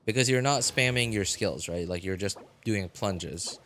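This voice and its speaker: A man live-streaming a game, chatting casually with viewers and answering chat in a relaxed, chatty way. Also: fairly low pitch, unhurried, slight background noise. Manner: frequent fillers and restarts, laughs easily.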